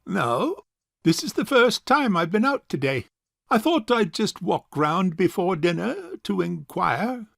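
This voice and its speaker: An actor playing a fussy, miserly rich old bachelor uncle in a comic farce, speaking in a pompous, hesitant, formal way. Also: male voice, high-pitched, very animated, unhurried, creaky, a bit bright, no room sound, studio quality, heavily acted. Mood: politely formal. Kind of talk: comic stage farce acting.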